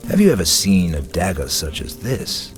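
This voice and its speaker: A menacing inquisitor with a very low voice. He is cold, measured and commanding, as in a dark video game, with music clearly playing behind him. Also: wildly swooping pitch, full character voice. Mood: measured, serious. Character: stern, menacing male inquisitor on a case.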